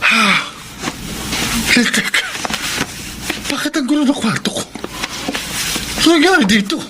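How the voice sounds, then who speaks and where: A man's voice: very high voice, animated, measured pace, very noisy. A man acting a meek, anxious young office worker in a Filipino radio drama, hesitant and given to talking to himself. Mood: puzzled. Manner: hesitant, talks to self anxiously. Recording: loud music bed under dialogue.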